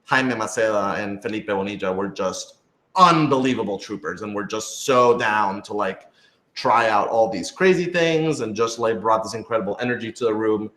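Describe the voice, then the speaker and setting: A man's voice: fairly low pitch, normal speed, fairly bright tone. A man speaking as a radio drama creator, answering listener questions in a casual, conversational way with a hedging style. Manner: conversational, hedging with lots of "like" and "sort of". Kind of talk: casual live Q&A chat. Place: indoor room with other people. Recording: roomy, echoey room sound.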